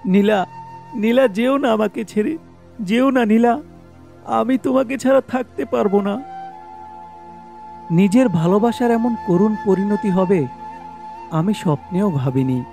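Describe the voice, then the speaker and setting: Man acting a high, gravelly, pleading young lover. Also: unhurried, pauses often. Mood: grieving. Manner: pleading, emotional, repeating phrases. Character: heartbroken young male lover, anxious and pleading. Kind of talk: dramatic horror cartoon voice acting. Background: loud music.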